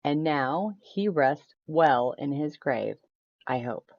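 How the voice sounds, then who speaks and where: A woman voicing a frank, brisk storybook lady who talks with conversational emphasis. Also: sits low, lively intonation, heavily acted. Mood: frank, wry. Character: Sarah, nearsighted, frank woman telling a tale. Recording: roomy echo, slightly dull sound.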